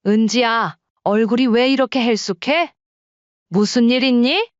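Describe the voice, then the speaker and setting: A woman plays a tender middle-aged mother who speaks softly and with concern. Her voice is smooth and clear, set a bit high. The sound is dry and a touch dull. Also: a little slow, no room sound, lightly acted. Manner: gentle, soothing, concerned. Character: caring middle-aged mother, gentle and worried.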